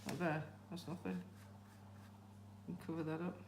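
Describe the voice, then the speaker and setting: Live craft host: Greek native speaker, Yorkshire accent, chatty with viewers, roomy echo, faint hum. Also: female voice, on the low side, level tone, normal speed. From Greece. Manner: chatty, reads out and greets viewers. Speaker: livestream host. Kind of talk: casual live craft stream chat.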